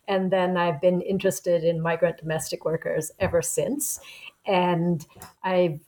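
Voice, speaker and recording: A woman in her sixties, a professor being interviewed as a guest, telling her story in a reflective, unhurried way. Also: on the low side, level tone, mellow. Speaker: guest author, anthropology professor. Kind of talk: conversational interview answer.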